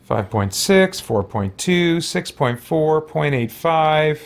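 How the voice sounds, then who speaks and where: A man's voice: on the high side, animated, takes it slowly, gravelly. A man giving casual gameplay commentary, thinking aloud and muttering to himself with mild exclamations. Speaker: host of a role-play gaming playthrough.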